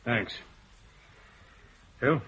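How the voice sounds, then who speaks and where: An actor playing a calm, commanding male detective chief inspector in a radio drama. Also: lo-fi sound. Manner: measured, commanding.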